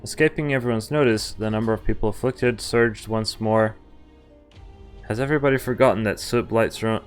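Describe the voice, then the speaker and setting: A man streaming a visual novel, reading the game text aloud and breaking off into casual asides and reactions. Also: fairly low pitch. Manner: reacts with asides between read lines.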